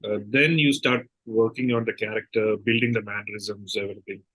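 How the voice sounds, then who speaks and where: A man's voice: fairly low pitch, fairly even intonation, brisk, fairly bright tone, dry recording, rough recording. A man interviewing conversationally, feeling his way through questions and rephrasing them.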